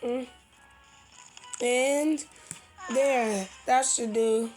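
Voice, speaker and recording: A young-sounding amateur with a high, childlike voice improvising playful cartoon animal voices, with exclamations and sing-song filler. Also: fairly even intonation, drawn-out words, a little mellow, faint music behind. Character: cartoon animal characters, eager and easily alarmed. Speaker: fan dubber voicing every cartoon character.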